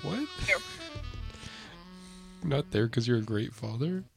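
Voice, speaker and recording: A man co-hosting comedic improv banter with wry, questioning, deadpan asides. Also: medium pitch, takes it slowly, creaky, loud music behind the voice, low-quality recording.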